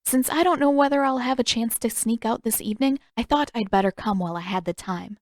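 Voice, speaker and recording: A woman voicing a brisk, confident, teasing teenage girl in an audiobook story, with a sardonic manner. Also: a little high, darkish tone, no echo, studio quality, pitched above the performer's own voice, close to an everyday voice. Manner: sardonic, dismissive.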